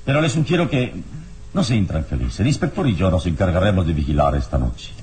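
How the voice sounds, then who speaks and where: An actor playing a calm, commanding heroic adventurer in a radio serial, speaking in a measured, formal, authoritative way. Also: male voice, low-pitched. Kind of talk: radio serial drama acting.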